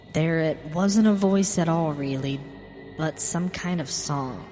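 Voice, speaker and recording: A woman reading a scripted first-person horror story as its narrator. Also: low voice. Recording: long reverb with music behind. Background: music.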